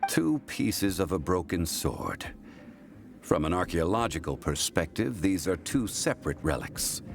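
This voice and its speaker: An actor playing a composed, learned man who holds strictly to contracts, speaking in a calm, formal, measured way. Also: low voice, vocal fry, noisy background, heavily acted. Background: game music.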